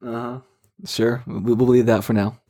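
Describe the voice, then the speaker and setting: A man's voice: medium pitch, level tone, normal speed, warm tone. A man hosting a casual phone interview with a teasing, bantering way of talking. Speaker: host of a call-in segment.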